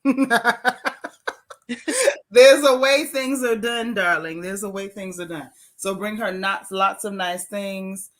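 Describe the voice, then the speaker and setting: Woman host, casual live-stream chat, motherly advice, drawn-out emphasis. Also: mid-range voice.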